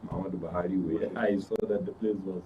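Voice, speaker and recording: A man with a Nigerian accent giving measured, halting, cautious remarks as a talk show guest. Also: sits low, fairly even intonation. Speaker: studio guest. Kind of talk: interview commentary. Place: radio and TV studio. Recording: dull distant mic, background noise.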